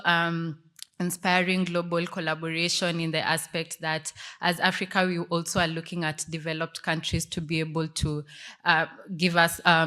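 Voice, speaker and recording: A woman's voice: on the low side, a bit bright. A woman from Kenya with a Kenyan accent, giving a conference presentation as a panelist. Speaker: panelist, tech community manager presenting.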